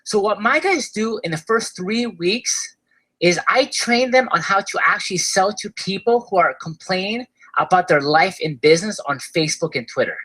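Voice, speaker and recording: A man with an Argentine accent giving an upbeat webinar sales pitch for his online course, often tagging his sentences with "right?". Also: expressive, normal speed, bright, forceful tone, rough recording. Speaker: course seller presenting a webinar.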